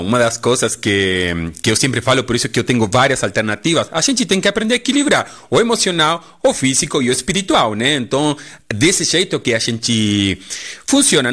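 A middle-aged Argentine man with a Spanish accent, a holistic therapist guest speaking deliberately in a radio studio interview. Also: mid-range voice. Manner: deliberate, lively intonation with frequent "né?".

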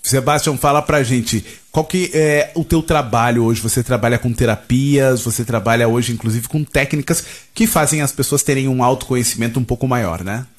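A man's voice: medium pitch, normal speed, hardly any pauses. A Brazilian man hosting a radio interview, chatty and rambling, speaking from the studio. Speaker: radio host.

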